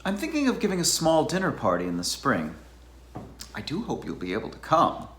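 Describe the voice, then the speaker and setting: A man's voice: fairly high pitch, huge pitch swings, a little fast, fairly bright tone. A man playing a complacent, fussy bachelor academic in a dramatized novel reading, formal, musing and self-satisfied. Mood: genteel. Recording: roomy echo, faint background noise.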